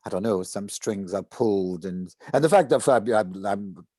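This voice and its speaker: An older man talking casually as an actor in a rehearsal discussion, halting as he thinks out loud on a video call. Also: fairly low pitch, no room sound, clean studio sound. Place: indoor room at home, video call.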